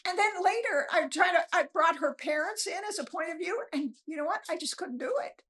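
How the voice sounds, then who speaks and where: Casting: female author guest, very high and bright voice, casual animated storyteller. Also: fairly quick. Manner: animated storyteller, acts out bits of dialogue. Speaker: guest novelist. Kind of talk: casual interview chat.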